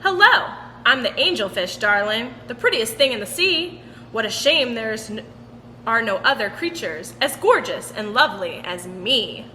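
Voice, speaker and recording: A woman's voice: on the high side, lively intonation, unhurried, pushed and bright. A woman voicing a vain, gushing angelfish from a children's storybook with an affected, self-admiring drawl. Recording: roomy long reverb with background noise.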